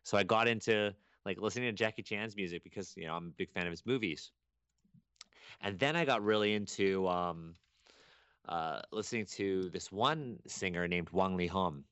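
A young man from Canada, a guest chatting casually on a podcast, rambling and animated with many restarts. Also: low voice, moderate pace, clean tone, no room sound. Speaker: guest archaeologist.

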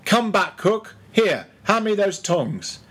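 A man's voice: sits high, sing-song, slow pace, a bit bright, breathy edge, voice pushed up, heavily acted. A man voicing a bossy, mocking ship's mate who badgers and gives orders in a storybook reading. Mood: mocking, commanding. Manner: commanding, interrogating, mock-solemn.

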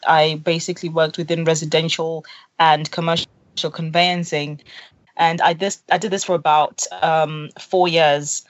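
A woman's voice: low-pitched, no room sound. A young woman from Zimbabwe, a company co-founder, talking earnestly in an interview with frequent fillers. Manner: earnest, lots of fillers and "literally". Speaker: co-founder guest. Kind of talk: interview conversation.

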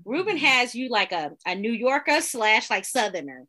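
A woman co-hosting a podcast in animated casual chat, laughing often. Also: a little high, normal speed. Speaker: podcast co-host.

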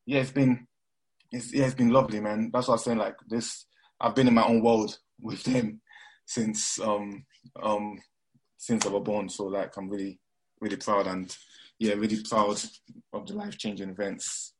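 A young man with a mixed London and Nigerian accent talks in a relaxed, rambling way, full of fillers and false starts. His voice sits fairly low and has a husky, slightly breathy grain. He sounds like a guest in a casual interview. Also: moderate pace, rough recording. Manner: rambling with frequent fillers and restarts.

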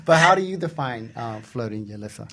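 Mid-pitched male talk show co-host. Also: expressive, fairly quick, pushed and bright. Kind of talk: conversational talk show banter.

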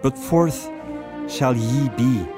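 Man acting a slow, earnest bandmate. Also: medium pitch, music in the background, lightly acted. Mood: solemn, sad. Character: earnest, sensitive male band member, eager to please, easily wounded. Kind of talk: amateur radio comedy play reading.

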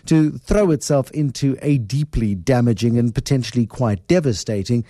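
A man's voice: mid-range voice, normal speed, mellow, dry recording, clean studio sound. A man hosting a radio interview, asking probing questions and often restarting his phrases. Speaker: radio host.

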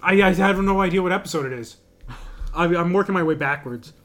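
Casting: male podcast co-host, slightly high voice, very quick delivery, casual and profane. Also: a bit bright. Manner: joking, profane. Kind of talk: casual banter.

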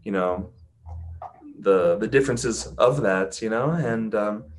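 A man's voice: fairly low pitch, takes it slowly. A man chatting casually as a guest, talking at length with many "you know" fillers. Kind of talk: casual chat.

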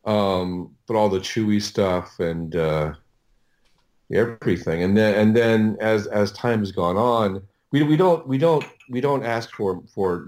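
A middle-aged man telling stories as an audio producer in a casual interview, halting and rambling as he repeats and restarts his words. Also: fairly low pitch, darkish tone.

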